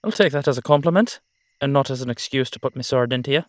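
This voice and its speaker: A man playing a blunt, boisterous Irish dullahan swordsman in an audio drama, talking in brisk colloquial bursts. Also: mid-range voice, dry recording, studio quality, close to an everyday voice. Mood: teasing. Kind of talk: dramatic audio roleplay acting.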